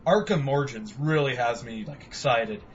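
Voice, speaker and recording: A man's voice: mid-range voice, moderate pace, slight background noise. A young man co-hosting a podcast, rambling in casual, profane chat about video games. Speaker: podcast co-host. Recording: dull lo-fi home recording.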